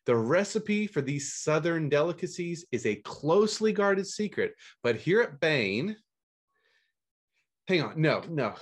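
A man sitting in as host of a book podcast, reading scripted news and blurbs with dry, mock-confused humor. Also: mid-range voice.

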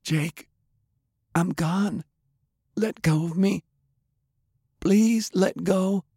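A male narrator plays an undead woman in an audiobook drama, her voice lifted high and soft. She pleads with sorrow and tenderness, yet stays resolute. The sound is close and dry. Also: very slow, gravelly, husky, no echo, full character voice. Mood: sorrowful pleading. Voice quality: trembling.

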